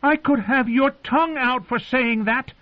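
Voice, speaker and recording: A man's voice: very high voice, a little slow, phone-quality sound, pitched above the performer's own voice. A man voicing a spoiled, cruel boy king in a fantasy audiobook, with a whining, demanding manner. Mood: sneering.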